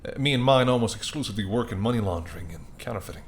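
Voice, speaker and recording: A man's voice: lowish voice, expressive, very fast, a little hiss or hum. A man playing an apologetic, wry and courteous male mafia boss in an audio roleplay, speaking conversationally and sardonically straight to the listener. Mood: wry, indignant. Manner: conversational, sardonic, exasperated, softening into polite charm.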